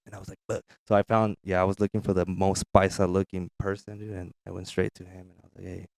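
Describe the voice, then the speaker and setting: A man's voice: sits low, little pitch movement, quick pace, creaky, a little mellow, no echo. A man in his thirties from the Mexican side of the border, a native Spanish speaker, telling stories casually as a podcast guest. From US-Mexico border region, Mexico. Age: 30s. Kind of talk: casual chat, storytelling.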